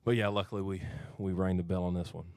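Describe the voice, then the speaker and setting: A man's voice: deep voice, fairly even intonation, fast, a little mellow, clean tone, dry recording, clean studio sound. A man in his thirties from Arkansas, a country singer answering interview questions in a modest, thoughtful way. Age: 30s. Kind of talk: casual studio interview. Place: radio studio. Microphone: studio mic.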